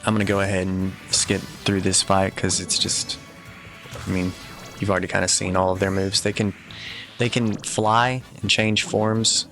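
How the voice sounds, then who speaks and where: A low-pitched man talks through a video game walkthrough in a casual, matter-of-fact way. His delivery is extremely monotone and low-key, with a gravelly creak in the voice. Game music plays faintly behind him. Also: moderate pace. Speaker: game guide commentator.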